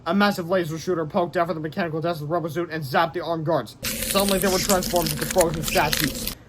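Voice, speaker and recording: High-pitched man reading a storybook aloud. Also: fast, noisy background. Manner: animated, stumbles and self-corrects. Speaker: storybook reader. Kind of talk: children's book read-aloud narration.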